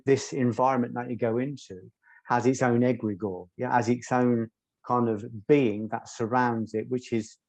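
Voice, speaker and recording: A soft-spoken man, a guest telling stories in an interview in a measured, articulate way. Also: medium pitch, no echo. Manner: measured, articulate, occasional ums. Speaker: guest, former doorman. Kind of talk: reflective interview storytelling.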